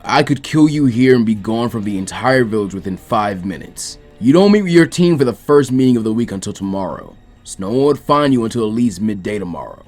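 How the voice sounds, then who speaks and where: Man voicing a menacing, gruff ninja mentor. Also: medium pitch, a little mellow, close to an everyday voice. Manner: threatening, deliberate. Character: stern, menacing older male ninja mentor, gruff and taunting. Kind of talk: acted dialogue in fan fiction reading.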